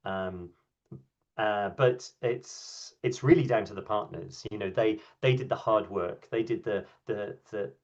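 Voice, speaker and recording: A man speaking on a video call as a workshop speaker, answering questions in a relaxed way with many ums and restarts. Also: on the low side, measured pace. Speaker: invited speaker at an online workshop. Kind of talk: conversational talk and answers. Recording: dull, lo-fi video call audio.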